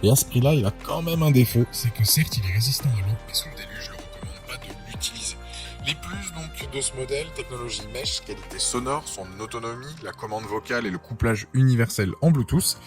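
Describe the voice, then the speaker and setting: A man's voice: medium pitch, expressive, brisk, faint music behind. A man narrating a scripted product roundup in an informal, salesy way, as a review presenter.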